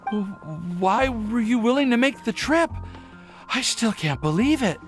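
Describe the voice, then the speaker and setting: A high, swooping young male voice for a bashful, lovestruck game character. He stammers and hesitates, taking his time over each phrase. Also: expressive, unhurried, soft background music. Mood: amazed, disbelieving. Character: shy, flustered, lovestruck young man. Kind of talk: scripted game dialogue.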